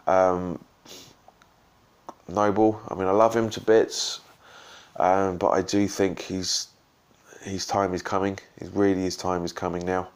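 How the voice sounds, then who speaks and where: Casual fan vlogger, male, very low voice, hoarse from shouting the night before, rambling delivery. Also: flat delivery, measured pace, pauses often. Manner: rambling, full of ums and restarts. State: slightly croaky from shouting and drinks. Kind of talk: casual post-match reaction vlog.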